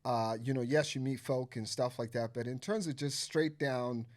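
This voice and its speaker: A man's voice: medium pitch, moderate pace, darkish tone. A Nigerian-born man with an American accent, talking as a podcast guest in a loose, profane way full of filler phrases. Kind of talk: casual conversation.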